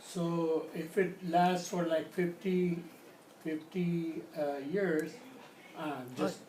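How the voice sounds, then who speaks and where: A man in the audience asks halting questions with many fillers. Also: a little high, level tone, a little mellow, slight background noise. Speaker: audience member asking questions. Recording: roomy, with crowd sound.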